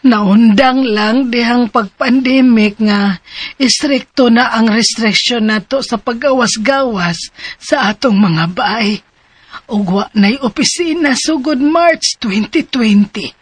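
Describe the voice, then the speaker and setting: An actress in a radio drama playing a grieving widowed mother who speaks slowly and heavily. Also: a little high, husky, dry recording, full character voice. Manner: slow, measured, heavy-hearted delivery.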